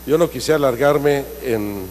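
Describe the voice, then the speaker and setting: A man delivers a formal introduction, pausing on fillers and fixing his slips as he goes. His voice is mellow and low in brightness. The sound carries a long hall reverb over faint background noise. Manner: formal, with frequent hesitations and self-corrections. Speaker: seminar moderator. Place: large hall in the Senate.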